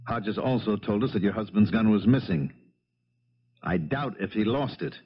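An actor playing a shrewd, dogged police lieutenant in a 1970s radio mystery, probing and sardonic in a measured way. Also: male voice, lowish voice, animated, slightly rough. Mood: firm, confident. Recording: dull, lo-fi 1970s radio broadcast.

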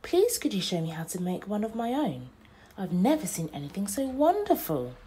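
A woman's voice: medium pitch, big pitch swings, moderate pace, mellow, slightly rough, pitched above the performer's own voice. A woman voicing small, sweet, eager young creatures in a children's storybook, in a lifted, pleading voice.

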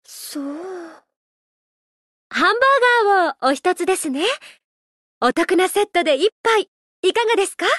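A woman voicing a gentle, playful idol who loves a drink, speaking politely and teasingly in a mobile game. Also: extremely high pitch, expressive, no room sound, clean studio sound. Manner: polite, unhurried, teasing, softly flirtatious. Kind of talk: Japanese mobile game character voice lines.

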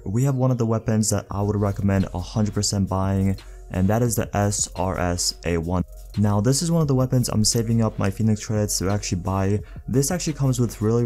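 A man with a stuffed, nasal voice giving relaxed, casual commentary over game footage. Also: fairly low pitch. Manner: relaxed, talks through recommendations with many fillers. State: stuffed nose. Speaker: gaming YouTuber.

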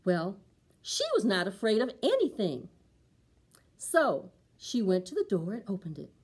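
A middle-aged woman reading a picture book aloud for preschool storytime, with deliberate, dramatic emphasis on sound words. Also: medium pitch, wildly swooping pitch, darkish tone, breathy edge. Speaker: library storytime reader.

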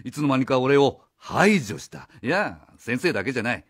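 A man playing a blunt, accusing outsider in a radio drama, confrontational and rough in his speech. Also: medium pitch, sing-song, moderate pace. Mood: sarcastic, accusing. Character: blunt, accusing male outsider challenging authority. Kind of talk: Japanese radio drama acting. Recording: faint music behind the voice.

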